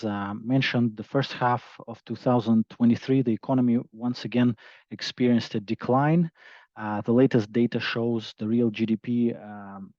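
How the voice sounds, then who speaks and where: A man presents financial results on a conference call as an executive. His delivery is halting and hesitant, broken by frequent pauses, at a middling pitch. The sound is dry and somewhat dull, like lo-fi call audio. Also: dry recording.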